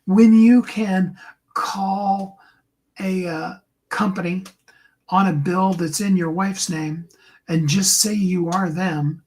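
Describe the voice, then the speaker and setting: A man with a higher tenor voice giving an earnest, encouraging motivational talk to his viewers, often slowing down and dropping into a soft whisper. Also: steady tone, low-quality recording. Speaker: host and coach.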